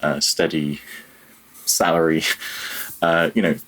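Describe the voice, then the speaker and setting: A man's voice: a bass voice, level tone, slow, fairly bright tone, poor audio. A man talking casually as an interview guest, reflective and self-deprecating about himself. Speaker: podcast guest, freelance audio programmer.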